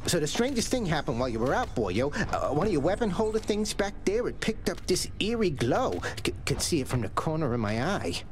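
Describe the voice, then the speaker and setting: A man's voice: fairly high pitch, very animated, a little fast, hardly any pauses. A wisecracking skeleton pal chatters in jittery street slang. His voice leaps wildly up and down, over faint music, like a cartoonish game sidekick. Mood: spooked. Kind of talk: video game voice acting.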